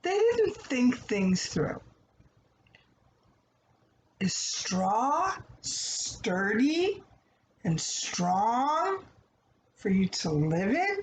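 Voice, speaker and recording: A woman's voice: a little high, very animated, very slow, pauses often. A woman giving a conversational children's story lesson in a didactic way, putting questions to listeners and answering them herself. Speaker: storybook read-aloud host and teacher. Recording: echoey room with faint background noise.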